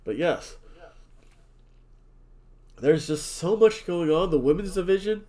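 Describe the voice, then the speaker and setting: A slightly high-voiced man from New Jersey, stuffed up and nasal, talks loosely and jokily. He rambles between thoughts, the way a relaxed podcast co-host does. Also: takes it slowly. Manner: rambling, joking, drinking while talking. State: nasal and sniffly from allergies. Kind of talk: casual chat.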